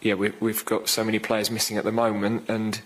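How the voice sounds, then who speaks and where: A man in his fifties, a football manager answering questions at a post-match press conference, speaking in a measured, thoughtful way with many fillers and restarts into a desk microphone. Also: on the low side, monotone, slightly rough, low-quality recording.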